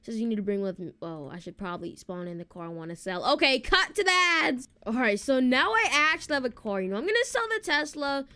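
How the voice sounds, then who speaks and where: Female game presenter, high and very animated, informal walkthrough commentary aimed straight at viewers. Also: quick pace, hardly any pauses, smooth. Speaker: video game streamer and presenter. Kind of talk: casual gameplay walkthrough commentary.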